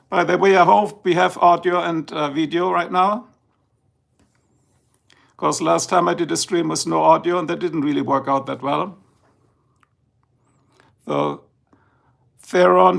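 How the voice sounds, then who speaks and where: German-accented male streamer, gravelly and a touch high, rambling and sleepy. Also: fairly bright tone. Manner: rambling, chatty, slow and drowsy at times. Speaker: livestream host. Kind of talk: casual live-stream chat. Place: indoors, filming a tablet slideshow.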